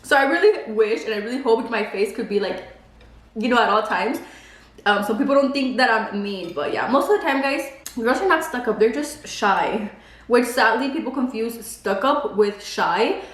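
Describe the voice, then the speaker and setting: A woman's voice: a little high, expressive, moderate pace, fairly bright tone, echoey room. A woman in her twenties whose first language is Spanish, chatting casually on a vlog and saying "like" a lot. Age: 20s. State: pregnant. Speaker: beauty vlogger. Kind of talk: casual chat while eating.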